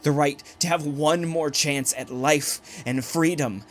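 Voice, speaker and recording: A man's voice: a little high, fairly even intonation, slow. A man playing a desperate condemned poet in a dystopian audio drama, with an intense, dramatic delivery. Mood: grim recounting. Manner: intense, pleading, stammering when distressed. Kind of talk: dramatic audio drama acting with first-person narration.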